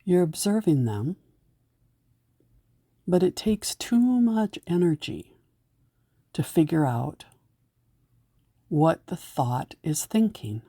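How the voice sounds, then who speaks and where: A woman with a low, mellow voice narrates a guided meditation. She takes her time with each gentle, soothing instruction. The sound is close and dry, with no room around it. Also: expressive, slow pace, long pauses, slightly rough, no echo.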